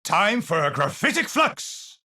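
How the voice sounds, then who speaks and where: An actor playing a cerebral, haunted, middle-aged scientist in a video game, speaking formally and precisely with theatrical, pensive phrasing. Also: male voice, high voice, sing-song, unhurried, sharp tone, full character voice. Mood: urgent. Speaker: video game hero character. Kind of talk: scripted game voice lines, theatrical.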